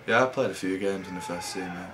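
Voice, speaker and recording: A young man from England, a rugby league player giving low-key, halting interview answers. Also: a bit bright, a little hiss or hum.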